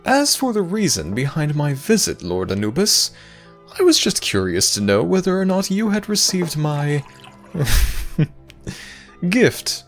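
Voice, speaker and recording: A man acts out a courtly, self-satisfied god whose pitch swings dramatically. He talks with sly, theatrical formality in an audio roleplay, with light music behind him. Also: huge pitch swings, slow pace, long pauses, heavily acted. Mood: sly, smug. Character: Osiris, smug, courtly male god. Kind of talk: ASMR audio roleplay acting.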